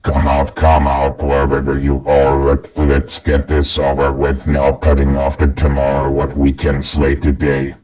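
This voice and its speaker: A man voicing a gloating, murderous monster supervillain in a comic dub, raspy and menacing. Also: a bass voice, completely flat delivery, a little mellow. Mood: menacing, taunting. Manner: menacing, drawn-out, taunting.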